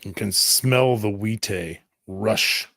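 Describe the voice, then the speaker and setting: A man's voice: on the low side, slow pace, fairly bright tone, dry recording, clean studio sound. A man narrating a tabletop roleplaying game as game master, in dramatic, clipped present-tense phrases.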